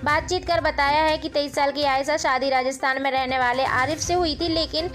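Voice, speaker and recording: Female online news presenter, high voice, informal direct-to-viewer narration, light music bed underneath. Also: fairly even intonation, moderate pace, fairly bright tone.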